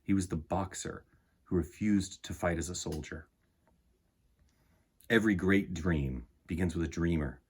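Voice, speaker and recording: A man hosting a children's storytime, reading aloud and talking to young listeners in an earnest, emphatic, deliberate way. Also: low-pitched, a little mellow, breathy edge. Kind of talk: expressive storybook read-aloud and talk to children.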